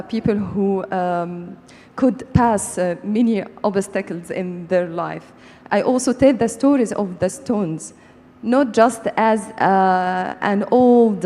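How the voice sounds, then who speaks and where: A woman content creator speaking haltingly as a panelist about her work, on stage in a large hall. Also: mid-range voice, mellow. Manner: halting, hesitant delivery. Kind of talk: panel talk. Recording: reverberant hall, stage sound.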